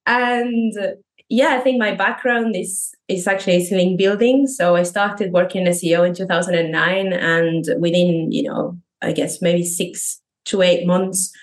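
A woman's voice: fairly low pitch, steady tone, unhurried. A woman in her thirties from Argentina, speaking English with an Argentine Spanish accent as a podcast guest, thoughtful and laughing lightly as she talks. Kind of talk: conversational interview.

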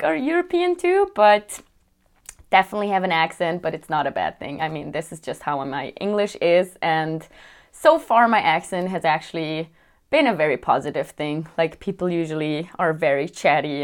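A young woman talks casually to her viewers, her voice sitting a little low. She is chatty, laughs often and leans on "like" and "um". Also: animated, moderate pace. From Switzerland. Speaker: vlogger. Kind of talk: casual chat. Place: apartment.

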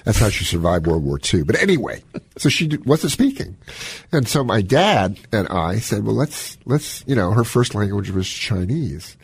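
A husky-voiced man co-hosting a health radio show, chatting and telling anecdotes in an animated, folksy way. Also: lowish voice, normal speed, dry recording. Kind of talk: casual talk and anecdotes. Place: radio studio.

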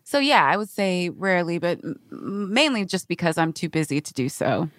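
A woman's voice: on the low side, big pitch swings, moderate pace, a bit bright, no room sound, studio quality. A woman hosting a podcast in casual, slangy chat with a friend, full of "like" and "you know" and often laughing. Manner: laughs often while talking. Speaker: podcast host.

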